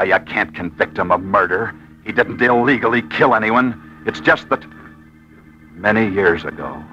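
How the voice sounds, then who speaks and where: A man voicing a bitter, wronged youth making a halting, dramatic confession in a radio melodrama. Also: deep voice, pushed and bright, dull audio, pitched below the performer's own voice, heavily acted.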